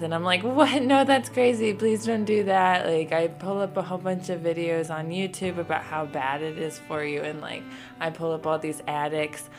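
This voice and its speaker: A woman in her twenties telling her own story in a casual way, with many "like" fillers. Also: fairly low pitch. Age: 20s. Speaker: interviewee. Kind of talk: personal testimony.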